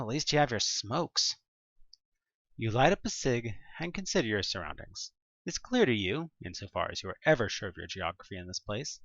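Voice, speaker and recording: A narrator giving a theatrical, expressive reading of a scripted horror story told in the second person. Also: mid-range voice, dry recording, highs cut off. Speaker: audio play narrator.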